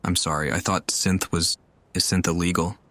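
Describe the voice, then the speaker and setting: A man comments casually on a game as he plays. His voice is deep and very even in pitch, with a dry, close sound. The delivery is deadpan and thoughtful. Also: moderate pace, no room sound. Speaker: game streamer. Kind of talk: offhand gameplay commentary.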